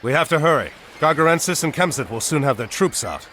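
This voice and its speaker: A man's voice: on the high side, animated, fast talker, fairly bright tone, slight background noise. A man playing a decisive heroic commander in a video game cutscene, giving orders. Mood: urgent, decisive. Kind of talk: scripted game cutscene dialogue. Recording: music behind the voice.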